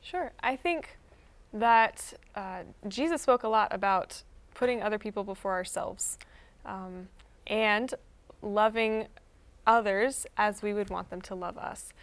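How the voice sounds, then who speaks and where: A woman's voice: medium pitch, measured pace. A woman who works as a nurse, speaking in a friendly, practical way as an interview guest with frequent ums. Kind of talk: casual interview talk.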